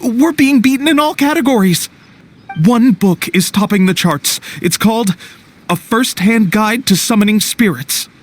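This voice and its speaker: A man's voice: high voice, moderate pace. A man voicing a nervous young clerk who stammers through a sales report, in a video game. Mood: flustered. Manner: hesitant, flustered.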